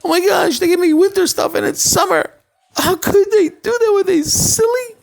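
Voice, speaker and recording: A man's voice: low-pitched, lively intonation, a little slow, creaky, a little mellow, breathy edge, heavily acted. A man voicing a stingy rich man who shrieks and whines in outrage. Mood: outraged. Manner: screeching, whiny outbursts.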